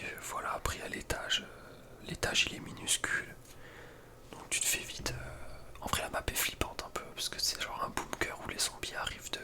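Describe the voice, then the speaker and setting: Whispered ASMR, young man, informal slangy musing, hesitant casual gaming commentary. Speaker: ASMR video creator.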